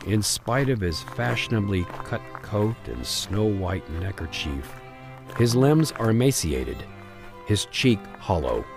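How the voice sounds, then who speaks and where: A man delivers theatrical narration for an old-style radio melodrama. His voice is fairly low and very animated in pitch, yet slow and deliberate. Music plays clearly behind him. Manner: dramatic, theatrical storytelling.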